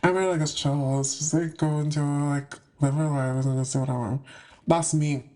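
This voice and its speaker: Chatty young man, high-pitched voice. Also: steady tone, normal speed, a little mellow. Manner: chatty and slangy, with playful asides. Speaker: spiritual content creator. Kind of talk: casual monologue to camera.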